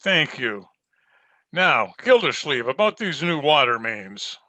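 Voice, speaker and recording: A man's voice: medium pitch, big pitch swings, takes it slowly, pushed and bright, dry recording, full character voice. A man playing a formal, officious town mayor in an old-time radio comedy. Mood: officious, polite.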